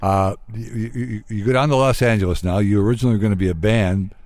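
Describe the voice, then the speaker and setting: Male radio host, lowish pitch, dry room-free sound, casual conversational interviewing. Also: fairly even intonation, quick pace, darkish tone, dry recording.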